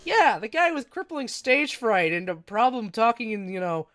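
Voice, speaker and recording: A man's voice: very high-pitched, normal speed, no echo, faint music behind. A man streaming games, chatting casually in a rambling, self-deprecating way, with a stuffy nose and a lingering cough. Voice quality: self-described monotone voice. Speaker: game streamer.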